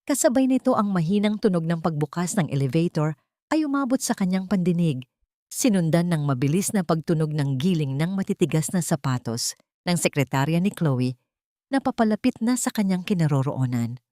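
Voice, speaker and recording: A woman's voice: on the low side, animated, normal speed, mellow, no room sound, clean studio sound. A woman with a Filipino accent reads a scripted dramatic story as its narrator.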